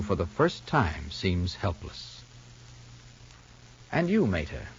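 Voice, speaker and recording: A man's voice: low voice, breathy edge. A man working as a 1950s radio announcer, narrating and selling in a polished, emphatic, dramatic manner. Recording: muffled old radio recording.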